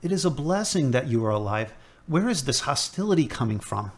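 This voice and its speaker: A man playing a calm hospital chaplain. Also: medium pitch, brisk, natural voice. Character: patient male hospital chaplain, gently probing.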